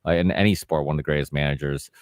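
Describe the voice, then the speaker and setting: A man from northern Portugal hosts a podcast over a video call. His voice is very deep and the sound is dry and close. He chats in an easygoing way with plenty of filler words. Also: fairly even intonation, very fast, clear, resonant tone, no room sound. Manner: easygoing, chatty, many fillers. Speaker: podcast host. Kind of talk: casual interview chat.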